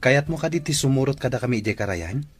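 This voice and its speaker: Man as husky, friendly young suitor. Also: mid-range voice, animated, fast talker, soft background music. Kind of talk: radio drama acting.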